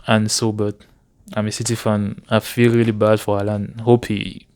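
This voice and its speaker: This is a man with a notably smooth, clear voice that sits a little low. He speaks as a guest in a casual sports discussion. The sound is dry and close, with hardly any room in it. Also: flat delivery, normal speed, darkish tone, dry recording. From Cameroon. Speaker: interview guest, sports fan.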